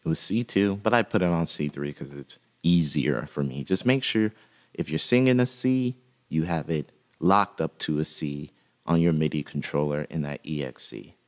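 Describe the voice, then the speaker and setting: Deep-voiced man with a gravelly edge. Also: moderate pace. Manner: relaxed and folksy, with frequent "uh" fillers. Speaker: music production instructor. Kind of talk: casual software tutorial.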